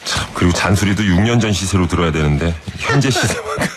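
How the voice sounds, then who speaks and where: Joking male radio co-host, expressive. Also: sits low, slight background noise. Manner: joking, quick reactive quips. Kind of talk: casual radio banter.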